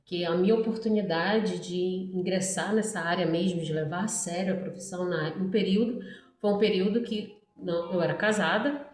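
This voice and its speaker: A woman's voice: lowish voice, fairly even intonation, brisk, a little mellow. Brazilian woman vlogging, echoey and distant. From Brazil, born in Ceará, raised in Pará. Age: middle-aged. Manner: rambling, frequent "né" tags, addresses viewers. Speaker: hairdresser making a video for followers. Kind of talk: casual chatty vlog monologue. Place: echoey space by a busy street.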